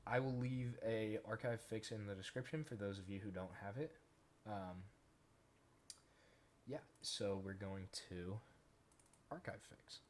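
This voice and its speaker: A man casually talking through a software tutorial step by step, in an informal way. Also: fairly low pitch, a little slow, long pauses. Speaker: tutorial host, gaming content creator. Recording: noisy home recording with keyboard clicks.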